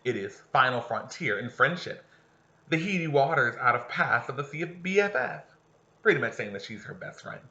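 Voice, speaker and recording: A male teacher reads a story aloud with a lively, swooping voice that rises and falls through each sentence. His tone is bright and forward, and he keeps breaking off to explain passages and put questions to his students. The recording sounds dull and lo-fi. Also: medium pitch, moderate pace. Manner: animated, stops to explain and ask questions. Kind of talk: reading a novel aloud to students with commentary.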